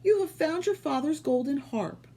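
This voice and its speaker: A woman reading aloud, voicing Jack's joyful, amazed mother, who speaks in high exclamations. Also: huge pitch swings, takes it slowly, fairly bright tone, exaggerated voice. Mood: delighted.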